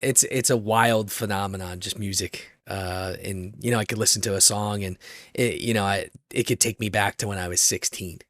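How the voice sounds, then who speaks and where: A man's voice: lowish voice, fairly even intonation, normal speed, a bit bright, no echo, studio quality. An American man hosting a podcast in a casual, chatty style. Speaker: podcast host. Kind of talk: casual chat.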